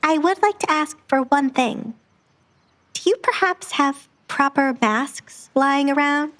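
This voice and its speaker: A young woman about to marry, in an audio drama as a woman reader plays her. She is polite and a little shy, speaking earnestly and with quiet determination. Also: high-pitched, slow pace, pitched above the performer's own voice. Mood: shy, hopeful. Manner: courteous, earnest.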